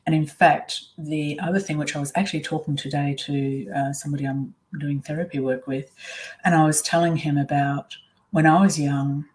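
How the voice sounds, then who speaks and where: A middle-aged woman, whose was Swiss German, telling her own story in a webinar, candid and reflective with many pauses and ums. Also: low-pitched, fairly even intonation, darkish tone, rough recording. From Australia. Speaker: webinar presenter.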